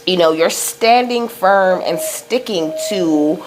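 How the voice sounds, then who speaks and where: A woman's voice: fairly low pitch, slow pace, low-quality recording. A woman giving a casual psychic card reading, rambling and reassuring, peppering her talk with "you know" and "okay?" while passing on messages from Spirit. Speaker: psychic tarot reader.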